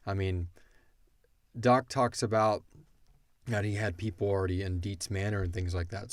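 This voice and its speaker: Male podcast co-host, lowish voice, very dry recording, chatting loosely and slangily about his fiction show. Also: darkish tone, no echo. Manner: informal, slangy, thinks aloud. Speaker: podcast co-host and audio drama writer. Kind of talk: casual Q&A chat about an audio drama.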